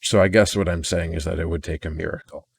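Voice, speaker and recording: A man recording an unscripted personal audio log in a weary, downcast, introspective way, halting and often repeating words as he restarts sentences. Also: low voice, fairly even intonation, gravelly, husky. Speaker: audio diarist.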